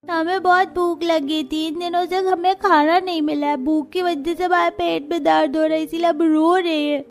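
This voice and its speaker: A woman's voice: extremely high pitch, flat delivery, faint music behind, pitched above the performer's own voice. A woman voicing a small, hungry child in a cartoon, plaintive and tearful. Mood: tearful, pleading. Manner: plaintive, slow.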